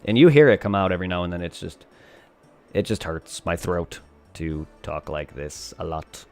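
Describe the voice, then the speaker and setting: Young man, game streamer, gravelly voice, rambling casual chat, desk mic. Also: deep voice, animated, normal speed, a little mellow, soft background music. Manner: rambling and self-deprecating, thanks viewers. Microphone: desk condenser microphone.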